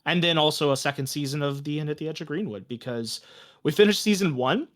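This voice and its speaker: A man's voice: a little high, quick pace, a bit bright. A man speaking as an interview guest, chatting in a reflective, conversational way. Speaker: game master, podcast creator and guest. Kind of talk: casual interview chat.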